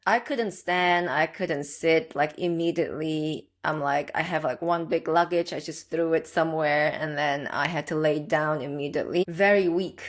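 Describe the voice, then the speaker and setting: A young adult woman from the Czech Republic, a guest telling a personal story in an earnest, reflective, conversational way. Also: deep voice.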